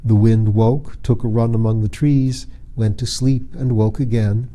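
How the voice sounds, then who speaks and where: A man reading a fairy tale aloud as an audiobook narrator, in an expressive, measured, literary style. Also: on the low side. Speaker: audiobook reader.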